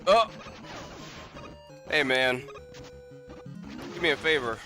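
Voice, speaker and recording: A man's voice: medium pitch, animated, takes it slowly, sharp tone, faint music behind. A man doing casual live-stream commentary over gameplay, rambling with lots of fillers and restarts. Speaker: gaming streamer.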